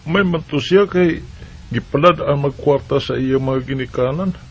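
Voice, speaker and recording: A man's voice: a little high, moderate pace, slightly rough, muffled recording. A man playing a lonely husband who is searching for his lost wife and children, speaking in a weary, plaintive way. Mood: downcast. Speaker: son. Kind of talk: radio drama acting.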